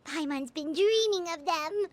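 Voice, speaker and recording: Very high squeaky childlike fairy companion. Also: female voice, a little slow. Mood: eager. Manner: excitable, speaks of self in third person. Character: tiny floating childlike girl companion, eager food lover. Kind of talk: anime-style video game voice acting.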